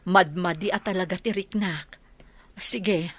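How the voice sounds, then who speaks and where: A woman's voice: lowish voice, unhurried, lightly acted. An actress playing an affectionate, lonely, ailing mother in a radio drama. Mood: sad, weak. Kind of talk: Ilocano radio drama acting.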